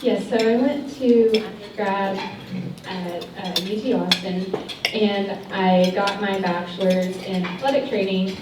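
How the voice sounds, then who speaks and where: A woman's voice: mid-range voice, fairly even intonation, measured pace, noisy background. Student panelist, woman in her twenties, from Texas, chatty; distant mic in a reverberant hall, clinking cutlery behind. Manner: chatty, apologetic about a cutting mic, laughs often. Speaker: physical therapy student panelist. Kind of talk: panel answers at a luncheon. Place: large banquet hall. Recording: echoey banquet hall, distant mic, dish clatter. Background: cutlery and dishes clinking.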